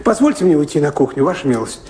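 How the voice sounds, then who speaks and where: An awed, servile manservant from a radio play. His voice sits a little high, and he rambles hesitantly with small fillers. The recording carries noticeable background noise. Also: very animated, fast talker, darkish tone. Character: deferential, talkative servant at a castle, naive and awed.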